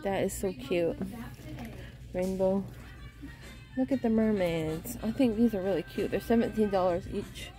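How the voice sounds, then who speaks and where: A woman's voice: medium pitch, measured pace, pauses often, music in the background. A woman vlogging a casual shopping trip through a home goods store, pointing out items and reading prices aloud. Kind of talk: casual shop-with-me narration.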